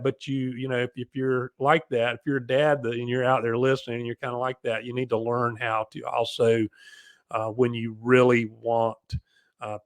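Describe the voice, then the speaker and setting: Man, middle-aged, booming bass-baritone, conversational advice, halting repeated phrases, very little room sound. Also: dry recording. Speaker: guest expert advising parents.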